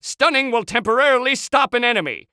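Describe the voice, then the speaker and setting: A tiny, scheming sea-creature villain from a cartoon game. His voice sits high and has a hard, very sharp edge, recorded close and dry. He delivers everything with pompous, sardonic theatricality, like a would-be world conqueror. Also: expressive, fairly quick, smooth, no echo, full character voice. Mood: smug instructing. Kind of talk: animated video game character lines.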